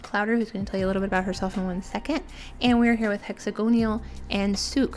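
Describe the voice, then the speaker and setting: A young woman from the United States hosting a casual streamed interview, chatty and giggly with lots of fillers. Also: mid-range voice. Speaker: podcast host.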